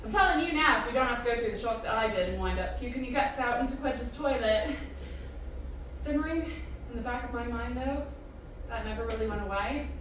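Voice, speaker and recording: A woman's voice: a little high, brisk, lightly acted. A woman playing a wary, sceptical young woman in a science fiction audio drama, blunt and questioning. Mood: grim, confiding. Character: wary, incredulous young woman drawn into alien secrets. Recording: very echoey room, distant mic, faint noise.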